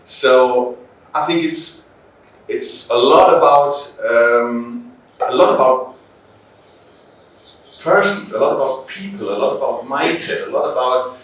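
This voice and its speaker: A man with a German accent gives interview answers in English as a native German speaker. He pauses and repeats phrases while searching for words. The room echoes heavily and the microphone sounds some way off. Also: medium pitch, fairly bright tone, recorded from a distance. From Bavaria, Germany. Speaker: police trainer, interview guest.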